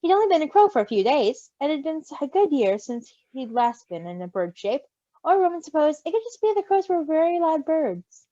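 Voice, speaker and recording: Very high, animated woman reading stories. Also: a little fast, no echo, lo-fi sound. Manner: expressive, giggly, interrupts herself to react. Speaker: story reader and co-host. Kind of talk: animated storybook reading with casual asides.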